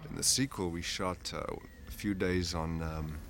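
Very deep-voiced man, joking film commentary. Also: fairly even intonation, normal speed, noisy background. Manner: casual, joking, storytelling. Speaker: actor in the film. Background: film soundtrack music.

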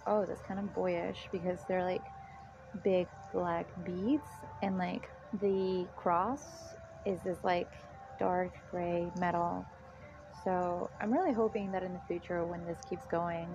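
A woman of twenty-six from Mexico, talking casually and chattily with lots of "like", as in an audio diary. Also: on the low side. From Mexico, moved to Texas at fourteen. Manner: chatty, frequent "like" as a filler. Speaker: guest product tester. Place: apartment. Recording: dull, noisy home self-recording.

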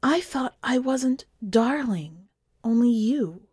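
A woman voicing a plain-spoken, affectionate, puzzled husband in a story, low-key and matter-of-fact. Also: animated, takes it slowly, darkish tone, husky, no echo, clean studio sound, heavily acted. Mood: fond, puzzled.

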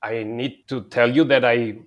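A man's voice: mid-range voice, fairly even intonation, unhurried, muffled recording. A man from Spain, a telecom company head talking through his career in an interview with frequent fillers and restarts. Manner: hesitant, with filler words and repeated starts. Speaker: guest, telecom consulting CEO. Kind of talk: conversational interview.

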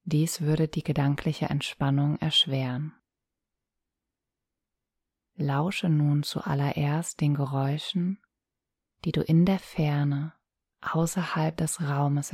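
A woman leads a guided relaxation in a low, even voice that barely moves in pitch. Her delivery is soft, breathy and soothing, with calm instructions and long pauses. The sound is very dry and close. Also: no echo. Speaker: yoga nidra guide.

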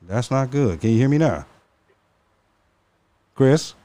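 A man co-hosts an amateur radio show with a lively voice that swings up and down. He drawls through casual, profane banter and often repeats himself. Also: medium pitch, slow. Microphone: studio mic with headphones.

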